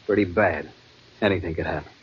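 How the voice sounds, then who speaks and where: An actor playing a haunted young adventurer in an old radio thriller, with dramatic, suspenseful, deliberate delivery. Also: male voice, sits low, slightly rough. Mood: guilty agitation. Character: haunted, guilt-ridden young adventurer narrating his terror at sea. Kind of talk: 1940s-50s radio drama narration and acting. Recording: dull vintage radio recording with prominent music.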